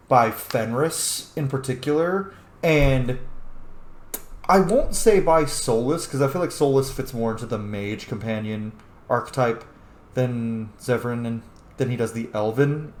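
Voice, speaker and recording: A man's voice: mid-range voice, a little hiss or hum. Man commentating on games in a roomy echo. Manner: opinionated, profane, informal. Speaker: gaming video host, player. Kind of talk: casual game playthrough commentary.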